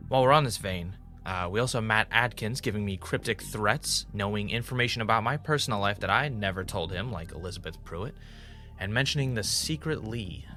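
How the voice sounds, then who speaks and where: Bright-voiced, low-pitched wry male podcaster. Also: fairly quick, faint music behind. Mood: brisk, concerned. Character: male ex reality-show contestant turned investigative podcaster, wry, dramatic. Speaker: podcast host. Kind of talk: naturalistic audio drama acting, conversational narration.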